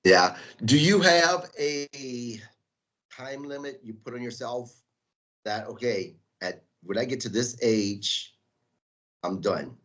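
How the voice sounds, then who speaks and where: A man hosting a podcast, asking probing interview questions with halting restarts. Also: mid-range voice, lively intonation, fairly bright tone. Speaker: podcast host.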